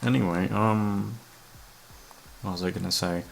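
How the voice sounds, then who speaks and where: Low-voiced young man rambling casually. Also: unhurried, gravelly, slight background noise. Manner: hesitant, sweary, self-deprecating. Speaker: voice actor, channel creator. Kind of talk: casual rambling chat to listeners. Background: soft background music.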